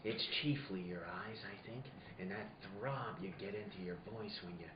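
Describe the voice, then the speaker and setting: A man's voice: on the low side, moderate pace, noisy background, lightly acted. A man playing a tough, cynical private detective in a 1940s noir radio play, dry and slangy. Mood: wry. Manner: hard-boiled, slangy, dry. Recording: roomy, dull amateur recording with people around.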